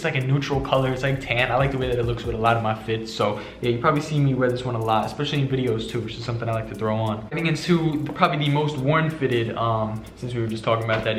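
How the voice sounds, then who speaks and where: Young new york dude rattling off his hat collection, talks fast, echoey bedroom. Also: mid-range voice, fairly bright tone. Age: young adult. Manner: fast, chatty, slangy, many fillers. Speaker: fashion vlogger. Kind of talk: casual hat collection haul. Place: bedroom with shelves, indoors. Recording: echoey room.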